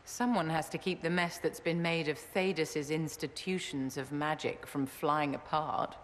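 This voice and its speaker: A woman's voice: low-pitched, steady tone, a little slow, big-room sound, slight background noise. A haughty, silky noblewoman mage. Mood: condescending. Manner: condescending, silky, says "my dear".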